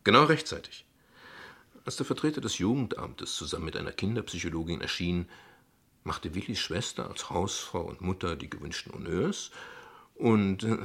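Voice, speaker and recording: An actor playing a guarded, ironic father in a radio play, speaking in measured, eloquent, formal sentences. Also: sits low, fairly bright tone, breathy edge, heavily acted. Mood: sardonic. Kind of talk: radio play dialogue acting.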